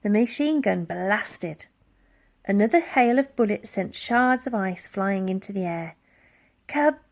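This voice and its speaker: A woman reading a children's story aloud in an expressive, dramatic storytelling style. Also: mid-range voice, measured pace. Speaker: audiobook reader.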